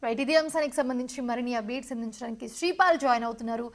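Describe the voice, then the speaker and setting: High-pitched woman news anchor. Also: rapid-fire, a faint crowd. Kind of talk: live news anchoring and questioning a reporter.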